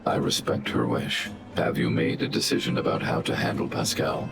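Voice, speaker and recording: An extremely low, gravelly robot guardian speaks in measured, formal sentences. The huge machine is stoic and coldly logical yet protective, a video game character with clear music underneath. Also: male voice, steady tone, full character voice. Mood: solemn, measured. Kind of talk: scripted video game dialogue.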